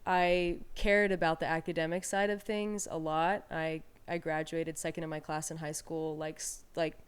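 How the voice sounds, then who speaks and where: A woman's voice: low-pitched, flat delivery, normal speed, smooth. A woman in her thirties, from Peru, speaking candidly and reflectively as an interview guest, with frequent fillers and restarts. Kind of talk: casual conversational interview.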